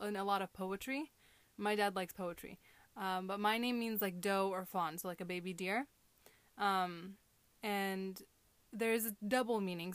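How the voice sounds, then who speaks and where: A woman's voice: mid-range voice, no echo. A young woman from Southern California chatting casually with her sisters, rambling and laughing often as she tells stories. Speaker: podcast co-host. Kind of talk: casual chat among sisters.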